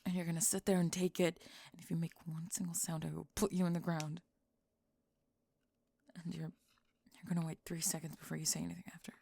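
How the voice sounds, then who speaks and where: A woman playing a young goth bully, defensive and reluctantly lovestruck, in an ASMR roleplay, speaking haltingly and dropping into whispers. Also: on the low side, flat delivery, warm tone, voice pushed down. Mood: bossy, threatening. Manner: halting, breathy sighs, drops into whispers.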